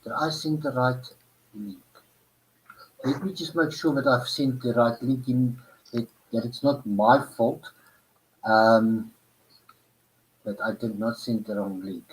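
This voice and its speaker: A man from South Africa hosts a live online stream, talking through problems out loud with plenty of ums and restarts. His voice sits at an ordinary middle pitch, and the sound comes through a plain computer microphone on a video call. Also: steady tone, slow pace, long pauses, slight background noise, rough recording. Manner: hesitant, many fillers, thinking aloud. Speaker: livestream host. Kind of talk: casual chat while troubleshooting.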